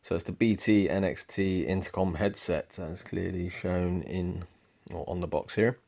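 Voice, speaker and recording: A young man giving a relaxed, rambling product review at home, with lots of ums. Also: sits low, moderate pace, creaky. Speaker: motorcycle vlogger. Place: indoors at home.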